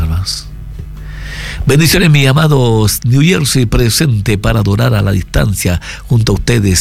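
Gravelly pastor with music behind. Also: male voice. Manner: warm, blessing people by name with rising pitch. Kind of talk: casual chat, reading greetings on a church broadcast.

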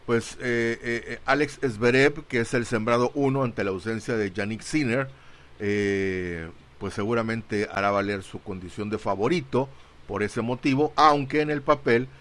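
A man's voice: lowish voice, moderate pace, a bit bright. A man co-hosting a radio show in casual sports chat.

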